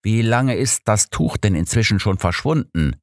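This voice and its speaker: Guy reading as an old detective in an audiobook, composed, brisk, analytical, voice raised a bit from his narration. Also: fairly low pitch, animated, no room sound, studio quality, pitched above the performer's own voice, close to an everyday voice. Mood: inquiring. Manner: analytical, matter-of-fact.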